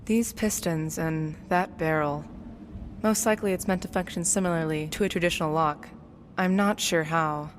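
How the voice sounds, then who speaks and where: A woman playing a practical, level-headed young explorer in an audio drama, matter-of-fact and measured, in a reverberant space. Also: lowish voice, close to an everyday voice. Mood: focused. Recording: long cave-like reverb, faint noise.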